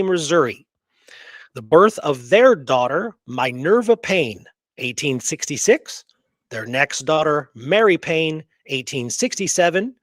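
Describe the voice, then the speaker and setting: A man's voice: on the high side, no room sound. Man presenting research in a dry close recording. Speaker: host. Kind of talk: casual genealogy research presentation.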